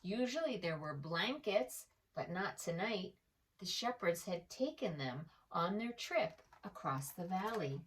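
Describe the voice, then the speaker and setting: A woman storyteller reading aloud to preschoolers in an expressive way, pausing to address the young listener directly. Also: on the low side, distant mic. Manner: slow, animated, addresses the young listener with questions. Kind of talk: expressive children's read-aloud.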